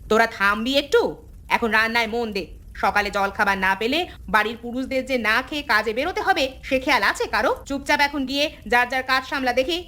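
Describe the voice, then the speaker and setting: A woman's voice: fairly high pitch, fast talker, bright, forceful tone. A woman voicing a bickering sister-in-law in a village family cartoon, scolding and complaining. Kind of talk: cartoon family drama dialogue.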